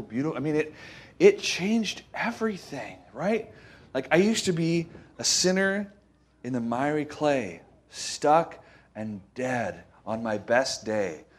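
A male preacher speaks at a middling pitch in a loose, conversational way. He is folksy, often repeats a phrase as he searches for the next one, and tags his points with small questions to the listeners. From Costa Rica. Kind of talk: informal conversational sermon.

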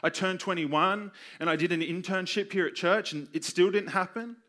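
A man preaching a sermon with personal stories, earnest and emphatic, using repetition and deliberate pauses. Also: high voice, bright, forceful tone. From Australia. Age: 20s. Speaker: youth pastor preaching.

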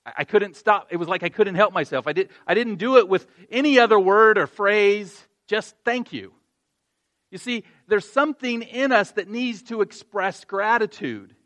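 A man's voice: sits high, moderate pace, a bit bright. A pastor giving a conversational sermon, telling a personal story and then urging his congregation with earnest emphasis. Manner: conversational with self-deprecating laughs, rising to earnest emphasis.

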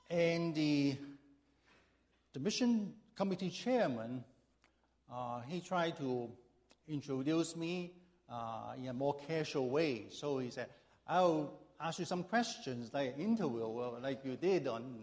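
A man's voice: a little high, darkish tone. A middle-aged male pastor from Hong Kong preaching in a church, reading scripture and then telling a humorous personal story with frequent fillers.

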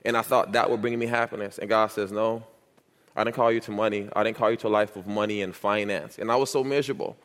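Ordination candidate, man in his thirties, low voice, slight stutter, emphatic and repetitive delivery, long church echo. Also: steady tone, quick pace, a bit bright. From Miami, United States. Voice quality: speech impediment, stutters when nervous. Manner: earnest, repetitive, emphatic storytelling. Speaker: youth pastor being ordained. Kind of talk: personal testimony and doctrinal answers in church. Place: church sanctuary. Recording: reverberant church hall.

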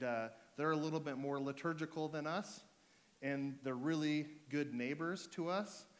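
A man with a sore throat and a fading voice, preaching to his church in a relaxed way, full of ums and restarts. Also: mid-range voice. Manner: joking, relaxed, with fillers and restarts. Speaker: pastor. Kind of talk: sermon.

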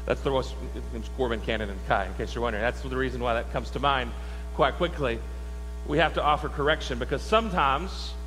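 A man's voice: medium pitch, a little fast, a bit bright. A man preaching a conversational sermon in a church, with wry asides and questions to the congregation. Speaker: pastor. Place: church sanctuary. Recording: long hall reverb with faint mains hum.

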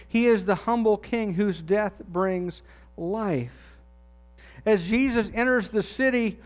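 A man preaching a sermon in a conversational way, dropping low and soft on key phrases. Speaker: pastor.

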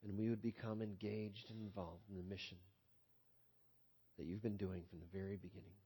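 A man saying a quiet, earnest prayer to close a part of a church service. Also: on the low side, level tone, fairly quick, breathy edge. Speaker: congregant leading prayer. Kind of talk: spoken prayer.

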